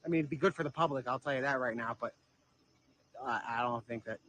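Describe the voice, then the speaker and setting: A man's voice: mid-range voice, fast, bright, forceful tone. A man hosting a live stream in a casual, hesitant, informal way. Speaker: livestream host. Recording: noisy live stream with insect chirps.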